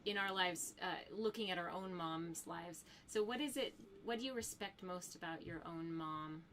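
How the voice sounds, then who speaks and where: A woman's voice: lowish voice, moderate pace, a bit bright, slight background noise. A woman co-hosting a family vlog livestream, greeting viewers in a bubbly way and asking her guest questions. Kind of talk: livestream chat.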